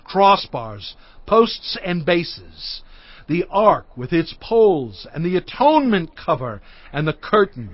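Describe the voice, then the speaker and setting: Moses, a grave prophet, declaims earnestly to his people in a high male voice. The recording is dry and close, with a slightly dull sound. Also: dry recording. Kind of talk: dramatized scripture reading.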